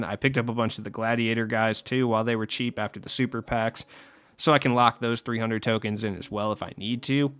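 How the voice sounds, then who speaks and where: A man's voice: mid-range voice, a bit bright. A young man with a scratchy voice, casually rambling through game market tips as a channel host and often trailing off mid-thought. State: scratchy voice after a long recording session.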